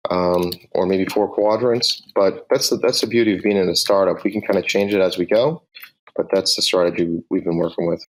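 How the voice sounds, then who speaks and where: Low-voiced Boston man explaining sales steps. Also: steady tone, rough recording. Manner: direct, measured, explains step by step. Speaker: sales team manager. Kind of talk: podcast interview answers.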